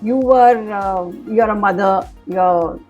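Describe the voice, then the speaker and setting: A woman's voice: mid-range voice, slow, a little mellow, lo-fi sound, faint music behind. A woman with an Indian English accent, hosting an interview and encouraging her former student with leading questions. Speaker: host, teacher mentor.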